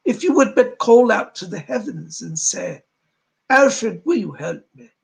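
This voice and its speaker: A man as a high-pitched, gravelly spirit guide. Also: expressive, measured pace, exaggerated voice. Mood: benevolent, reassuring. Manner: formal, old-fashioned, oratorical phrasing. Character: solemn, benevolent male spirit guide named Alfred. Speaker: trance medium speaking as a spirit. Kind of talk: séance address, sermon-like.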